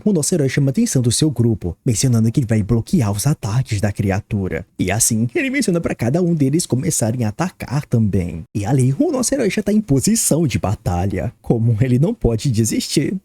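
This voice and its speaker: A man's voice: mid-range voice, dark tone, husky, no room sound. A Brazilian man narrating a story recap in an informal, slangy way, with playful diminutives.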